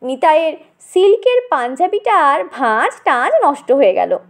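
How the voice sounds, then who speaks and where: High-pitched, animated woman storyteller. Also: normal speed. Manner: dramatic, conversational, addresses listener directly. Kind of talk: animated comic audiobook narration.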